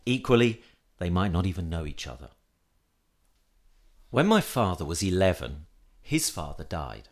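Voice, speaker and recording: A man reading a scripted literary essay aloud in a measured, reflective manner. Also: low voice, big pitch swings. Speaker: reader of an essay.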